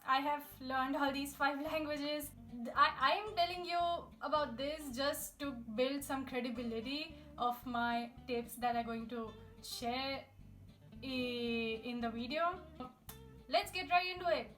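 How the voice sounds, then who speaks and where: A woman's voice: sits high, measured pace, a bit bright. A young woman, chatting upbeat language-learning tips straight to her viewers. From India. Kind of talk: casual tips video to camera. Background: guitar music.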